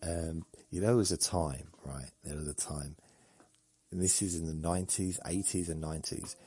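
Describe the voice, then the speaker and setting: A man hosting a sleep podcast, talking slowly in a meandering, deadpan, joking way with faint music behind. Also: very deep voice, husky. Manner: slow, meandering, deadpan humor. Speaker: podcast host. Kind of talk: rambling sleepy monologue.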